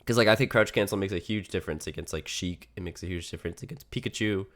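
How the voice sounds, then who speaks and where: A man's voice: low voice, quick pace. A man coaching casually in a one-on-one gaming lesson, his voice a little shot from being sick. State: sick, worn voice. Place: remote online voice call. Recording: live online voice call.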